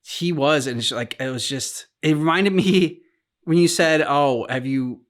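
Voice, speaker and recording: Man chatting casually, halting and rambling. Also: a little high. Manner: rambling, halting, searching for words. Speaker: host of a game reaction show. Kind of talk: casual chat, commentary on game cutscenes.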